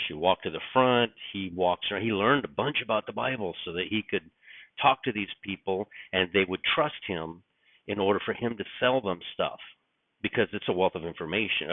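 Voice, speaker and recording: Raspy man, just up, low pitch, rambling and musing, tinny dry recording. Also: dry recording. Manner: rambling, musing, frequent restarts and fillers. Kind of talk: casual spoken reflection. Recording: dry, tinny phone-like sound.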